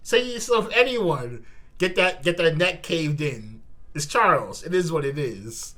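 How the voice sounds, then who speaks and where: This is an animated host doing a live manga reaction. The delivery is casual and slangy, full of repeated words and exclamations, with a very lively pitch range and a bright, punchy tone. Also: unhurried.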